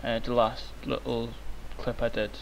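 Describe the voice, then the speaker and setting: A young man, hoarse and croaky from illness, rambling with many ums through a software tutorial. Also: mid-range voice, little pitch movement, normal speed, rough recording. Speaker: tutorial maker. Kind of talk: screen-recorded software tutorial. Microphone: cheap microphone. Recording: faint hiss, cheap mic.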